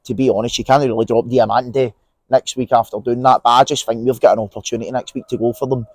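A man's voice: medium pitch, quick pace, no echo. Scottish man chatting football, dry lapel sound. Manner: relaxed, opinionated, many fillers and restarts. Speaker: podcast co-host. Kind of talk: casual football chat. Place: outdoors in an empty stadium stand. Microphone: lapel mic.

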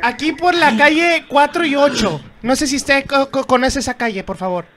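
A prank caller posing as a customer, ordering in a polite, hesitant and halting way. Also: high voice, a bit bright, a little hiss or hum.

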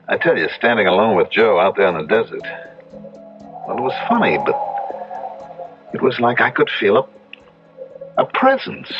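Shaken young husband, very expressive. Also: male voice, on the low side, lo-fi sound. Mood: uneasy. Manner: conversational, animated storytelling with dramatic pauses. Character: weary young husband, shaken but skeptical rancher. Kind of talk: 1970s radio drama acting. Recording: band-limited old radio broadcast, music underneath.

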